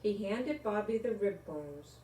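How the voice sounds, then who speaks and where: An older woman reads a children's story and talks to young viewers in a deliberate, expressive way. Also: medium pitch, slight background noise. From Rhode Island, United States. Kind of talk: children's storybook read-aloud and friendly address to young viewers. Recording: echoey room, distant microphone.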